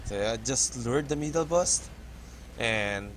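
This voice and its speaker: A man from the Philippines with a Filipino accent, English not his first language, giving halting, hesitant commentary over a game tutorial. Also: medium pitch, lively intonation, a little hiss or hum. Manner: hesitant, halting, restarts words often. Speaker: gaming tutorial host. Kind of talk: casual gameplay commentary.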